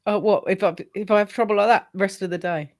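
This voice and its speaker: A woman's voice: mid-range voice, steady tone, fast talker. A woman chatting on a live stream with dry, teasing remarks. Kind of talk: casual chat. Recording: noisy background.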